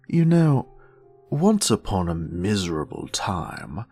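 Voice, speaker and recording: A man's voice: deep voice, gravelly, a little mellow, husky, exaggerated voice. A man voicing a grumpy, sassy British wizard, a haughty former professor with theatrical, dryly sarcastic delivery in an audio roleplay. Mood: bitter, contemptuous. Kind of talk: scripted character monologue, audio roleplay.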